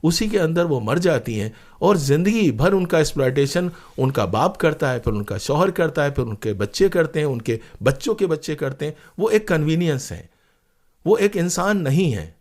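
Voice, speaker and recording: A man's voice: fairly high pitch, big pitch swings, moderate pace, a little mellow. A man speaking as an interview guest, telling stories reflectively and earnestly, with emphatic repeated phrases and rhetorical questions. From India. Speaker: interview guest, playwright and former radio broadcaster.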